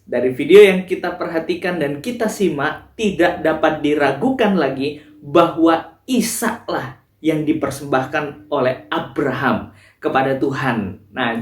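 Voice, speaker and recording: Casting: slightly high man, earnest preachy host, roomy echo. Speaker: channel host. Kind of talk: scripted closing commentary.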